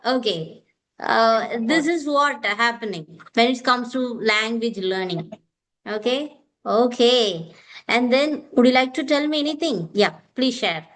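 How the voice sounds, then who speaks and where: A woman talks through speaking practice in a high voice. She sounds like a teacher in casual conversation, agreeing often and encouraging the other speaker. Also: expressive, measured pace, fairly bright tone. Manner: encouraging, repeats "okay" often. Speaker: English teacher and host.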